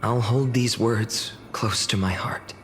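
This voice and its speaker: A man's voice: fairly low pitch, fairly even intonation, takes it slowly, a little hiss or hum. A man playing an earnest, doubtful young village hero in a fantasy video game, with a hesitant, musing delivery. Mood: solemn, musing. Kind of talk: English video game voice acting. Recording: long hall reverb under music.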